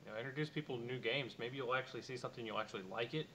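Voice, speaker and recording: A man's voice: mid-range voice, rapid-fire. A man in his late twenties casually explaining a tank game while playing, as stream co-host. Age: 20s. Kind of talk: casual gameplay commentary. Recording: loud background noise from game audio.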